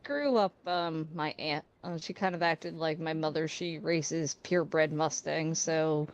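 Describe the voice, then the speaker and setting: A woman's voice: sits low, measured pace, clean tone, dull audio. A woman chatting in character during a roleplay game, easygoing and chatty as she tells stories.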